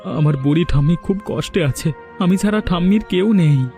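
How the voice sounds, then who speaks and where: A man's voice: sits high, normal speed, music in the background. A man playing a solemn, earnest young village man in a cartoon drama, grateful yet bent on revenge. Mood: pained, earnest.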